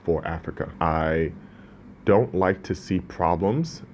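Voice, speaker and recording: A man's voice: sits low, slow pace, a little hiss or hum. A man born in Ghana, speaking as a business owner in an interview, earnest and prone to restarts. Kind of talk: casual interview talk.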